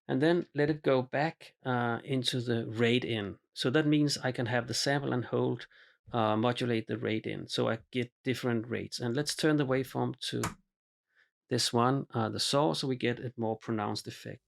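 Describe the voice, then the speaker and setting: Gravelly-voiced man explaining a synth patch. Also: mid-range voice. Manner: relaxed, halting with frequent ums and uhs. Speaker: synthesizer demonstrator. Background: synthesizer music.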